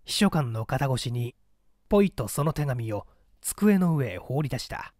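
A man's voice: mid-range voice, big pitch swings, measured pace, a little mellow, no room sound, clean studio sound. A man reading literary fiction as a dramatic audiobook narrator, theatrical and carefully articulated. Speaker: audiobook narrator, stage actor.

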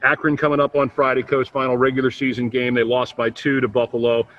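Male team broadcaster, smooth and stat-heavy, slightly muffled audio. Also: medium pitch, level tone, fast talker, a bit bright, a little hiss or hum. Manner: smooth, fact-filled broadcaster delivery. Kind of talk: postgame interview questions.

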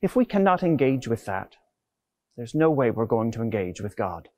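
Male preacher, middle-aged, lively intonation, scripted sermon delivery on a lapel mic. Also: mid-range voice, normal speed. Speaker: preacher, Anglican clergyman. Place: inside a large stone church.

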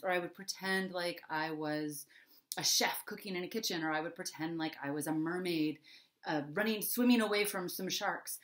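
Lower-voiced American woman talking to children. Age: middle-aged. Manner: simple, illustrative, conversational teaching. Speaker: children's author. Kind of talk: explaining to young children before a story reading. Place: home office, indoors. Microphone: computer webcam microphone at desk distance.

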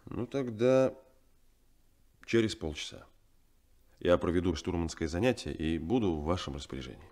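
An actor playing a businesslike, decisive sea captain in a 1970s Soviet radio play. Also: male voice, low voice, lively intonation, a little mellow. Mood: polite, businesslike. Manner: brisk, decisive.

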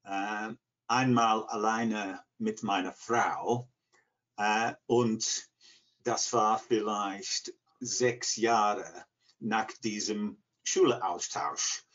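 An English man in his sixties with an English accent, answering interview questions haltingly as he searches for words in a second language. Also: lowish voice, steady tone, fairly bright tone. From England, near Liverpool. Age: 60s. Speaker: interview guest, language learner. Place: living room at home. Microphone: computer mic on video call. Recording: dull, lo-fi video call.

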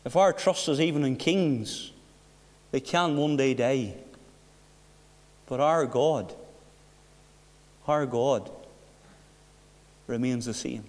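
A middle-aged man preaching a sermon behind a pulpit, earnest, with rhetorical questions and hushed passages. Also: mid-range voice, slow pace, pauses often. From Northern Ireland. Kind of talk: sermon with personal story. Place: church hall behind a wooden pulpit. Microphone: pulpit mic from a far camera. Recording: echoey church hall.

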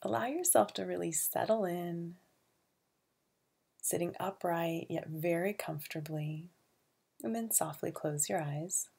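A woman leading a guided meditation in a calm, gentle, soothing way, with a soft, breathy voice and long pauses. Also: on the low side.

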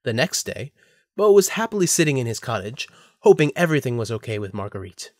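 A man's voice: mid-range voice, sing-song, fairly quick, darkish tone, dry recording, studio quality. A man narrating a scripted story for an audio drama.

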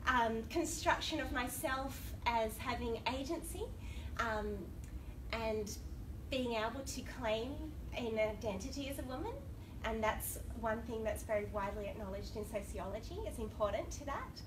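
A woman's voice: fairly high pitch, normal speed. A woman with an Australian accent giving a conference talk with a cold, chatty and laughing often, bantering with the audience. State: has a cold. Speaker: academic panel speaker. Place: lecture room. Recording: distant, noisy room recording.

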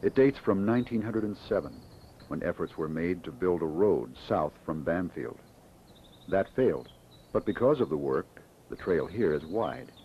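A man giving measured, scripted documentary narration about coastal history. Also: low voice, expressive. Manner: measured, informative. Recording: dull lo-fi 1960s film soundtrack with faint hiss.

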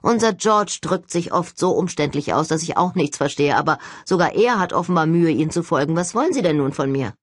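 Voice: mid-range voice, animated, brisk, few pauses, no room sound, studio quality, natural voice. An audiobook reader voicing a cocky, unflappable young male agent with a breezy, mock-polite manner. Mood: mock-polite, defiant. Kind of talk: audiobook character acting.

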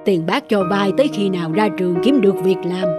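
A woman voicing a kindly, encouraging older neighbour in a cartoon, gently urging. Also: medium pitch, brisk, loud music behind the voice, close to an everyday voice. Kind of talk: cartoon dubbing.

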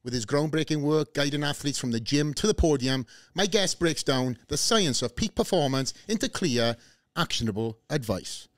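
A man with a Welsh accent hosting a podcast, friendly and laid-back, speaking into a studio boom mic. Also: expressive, moderate pace, no room sound. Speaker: podcast host. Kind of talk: casual podcast chat. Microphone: studio boom mic with headphones.

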